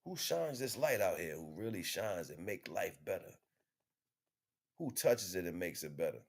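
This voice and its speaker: A man delivering an impromptu spiritual monologue in an emphatic, repetitive, slangy street-preacher manner, with a husky voice. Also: low-pitched, very animated, normal speed. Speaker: spiritual teacher streaming online.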